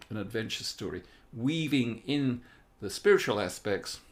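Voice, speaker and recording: A man's voice: mid-range voice, creaky. A man giving an earnest, explanatory spiritual talk as an author presenting his own book. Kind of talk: spiritual talk with passages read aloud.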